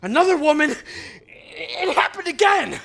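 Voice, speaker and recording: Volatile, high-strung grieving widower. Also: male voice, animated, a little slow, gravelly, a bit bright, full character voice. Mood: agitated grief. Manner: distraught, repetitive, explosive. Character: volatile, grief-stricken widower, agitated and obsessive. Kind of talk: audio drama acting.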